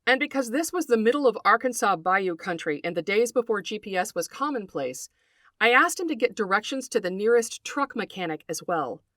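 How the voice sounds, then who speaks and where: A middle-aged woman hosting a podcast, reading a scripted narration in an articulate, deliberate storytelling way and speaking directly to her listeners. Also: medium pitch, animated, a bit bright, dry recording, studio quality. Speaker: podcast host, writer and folklorist.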